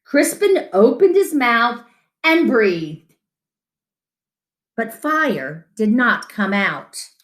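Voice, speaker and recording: A middle-aged woman reading a picture book to children expressively, with dramatic, deliberate pauses. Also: a little high, very animated, fairly bright tone. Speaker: children's storyteller. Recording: roomy home echo.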